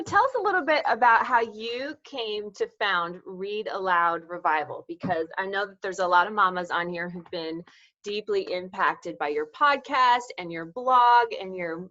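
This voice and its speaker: A woman's voice: mid-range voice, pushed and bright, muffled recording. A woman hosting an interview, speaking conversationally with gushing enthusiasm. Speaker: interview host. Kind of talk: conversational introduction and questions.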